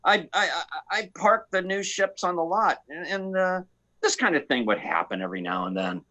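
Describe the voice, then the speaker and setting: A man playing a cocky, free-spirited space pilot in a comic radio play, rambling and repeating himself in halting bursts. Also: sits high, expressive, pushed and bright, dull audio. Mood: boastful, rambling.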